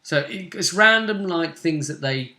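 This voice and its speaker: A man's voice: on the high side, lively intonation, measured pace, sharp tone. A man chatting casually as a tabletop game master, blunt and given to swearing. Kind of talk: casual chat recapping a tabletop role-playing session. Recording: roomy, echoey indoor recording.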